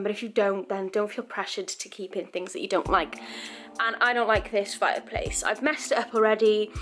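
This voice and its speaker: A woman vlogging casually about renovating her living room, chatty and rambling, her voice croaky from dust. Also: medium pitch, fairly bright tone. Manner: chatty, rambling, laughs often. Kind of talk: casual chatty vlog to camera.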